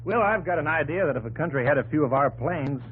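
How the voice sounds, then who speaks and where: An actor playing an earnest, determined young inventor in a 1950s radio drama, plain-spoken in manner. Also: male voice, a little high, animated, fast talker, close to an everyday voice. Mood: musing. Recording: tinny, faintly noisy 1950s radio broadcast.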